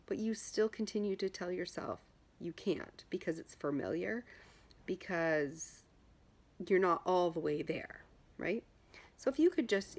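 Casting: woman, thirties, husky mid-pitched voice, emphatic self-help vlogger speaking conversationally. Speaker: health vlogger giving self-help advice. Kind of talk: informal direct-to-camera coaching. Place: small furnished room at home, indoors.

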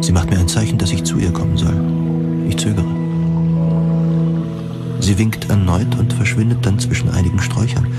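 A man playing a lonely, hypersensitive survivor, narrating a dystopian radio drama in measured, solemn, formal words. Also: deep voice, creaky. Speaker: narrator and protagonist. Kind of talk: introspective first-person radio drama narration.

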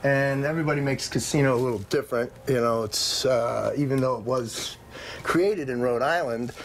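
A middle-aged man cooking on a TV show, talking through each step in a plain, practical way. His voice sits at a medium pitch, picked up by a lapel mic in a studio kitchen with a faint hiss behind it. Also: moderate pace. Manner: practical, plain-spoken, explains steps as he cooks. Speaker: guest chef. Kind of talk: cooking demonstration on TV.

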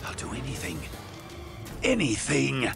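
An actor playing an obsessive, wealthy supervillain in an animated game, speaking in a formal, theatrical, deliberate way. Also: male voice, mid-range voice, gravelly, music in the background, exaggerated voice. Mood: obsessive resolve. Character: obsessive, imperious, wealthy male supervillain with grand ambitions. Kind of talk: dramatic animated game cutscene acting.

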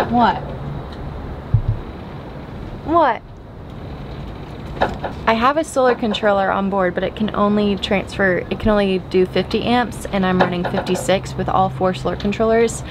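A woman's voice: medium pitch, slow, long pauses. Chatty young woman vlogging on a sailboat. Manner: chatty, thinking aloud with fillers. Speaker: sailing vlogger. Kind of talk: casual vlog to camera. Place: outdoors on a sailboat deck at anchor. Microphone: camera held at arm's length. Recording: noisy outdoor wind and water.

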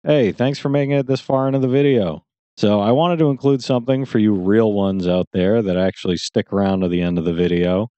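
A man's voice: lowish voice, unhurried, mellow, clean tone, no room sound, muffled recording. A man who makes gaming videos, chatting casually to viewers in a friendly outro.

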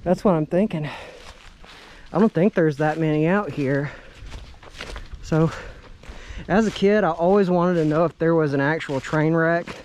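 A man's voice: on the high side, unhurried, long pauses, darkish tone, slight background noise. A man in his thirties narrating a casual exploration vlog outdoors on a handheld camera, talking in an awestruck, exclaiming way. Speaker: vlog host. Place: outdoors in woods around abandoned railway.

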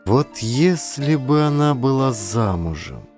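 Expressive male audiobook narrator, lively pitch. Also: takes it slowly, faint music behind. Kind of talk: dramatic literary audiobook narration.

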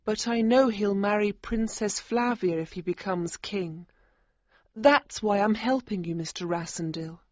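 A man voicing a worried young woman who pleads softly and urgently, in a read-aloud story. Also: sits high, a little slow, dry recording, lo-fi sound, pitched above the performer's own voice. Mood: sad.

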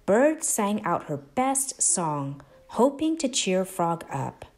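A woman narrating a children's storybook expressively, with deliberate, dramatic emphasis. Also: mid-range voice, very animated, darkish tone. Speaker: storybook narrator, host of read-aloud channel. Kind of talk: expressive children's read-aloud.

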